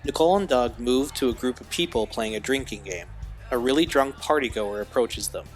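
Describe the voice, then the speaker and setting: A man's voice: mid-range voice, animated, a little fast, faint music behind, close to an everyday voice. A man narrating an audio drama, reading scene directions as scripted narration. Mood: neutral.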